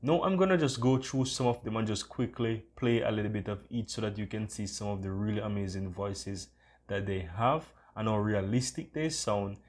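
A man's voice: lowish voice, moderate pace. A man hosting a casual screen-recorded tutorial, talking in an enthusiastic, repetitive way. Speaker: tutorial host.

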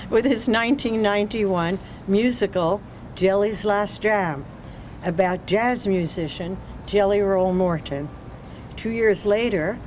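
A woman's voice: mid-range voice, a little mellow, low-quality recording. An older woman hosting a ceremony, reading formal introductions from notes at an outdoor podium with small slips. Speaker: ceremony host. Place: outdoors on a city street by a doorway. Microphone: podium mic. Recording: outdoor street noise.

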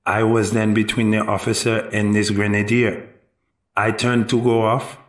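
A man acting a sober, careful eyewitness giving deliberate testimony in a historical courtroom drama. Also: on the low side, little pitch movement, lightly acted. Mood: earnest, measured. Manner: deliberate, measured storytelling. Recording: roomy echo, distant mic.

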